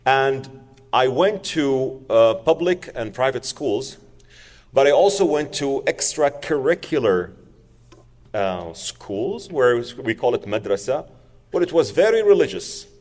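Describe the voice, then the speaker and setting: A man's voice: medium pitch, sing-song, unhurried, darkish tone, big-room sound. A man, telling his personal story to an audience in an earnest, emphatic way, repeating words for stress. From Saudi Arabia. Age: 40s. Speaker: public speaker.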